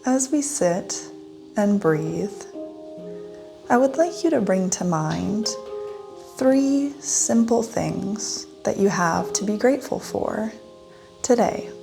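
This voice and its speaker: A woman leading a guided meditation in a gentle, soothing manner with long pauses. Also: medium pitch, lively intonation, vocal fry. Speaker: meditation guide, yoga teacher. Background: soft instrumental music.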